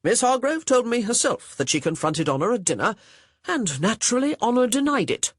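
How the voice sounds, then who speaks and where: Narrator doing a pompous young guy, stern but flustered, lively swings in pitch, dry close sound. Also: fairly quick, no room sound, full character voice. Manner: stern, blustering, uncertain.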